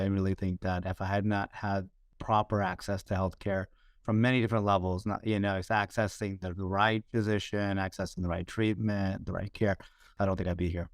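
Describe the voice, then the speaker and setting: A middle-aged American man with a low, smooth, resonant voice speaks earnestly as an interview guest. He restarts sentences often and leans on "you know" as he tells his story. The recording is dry and close. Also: no room sound. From United States. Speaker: guest, hospital digital executive.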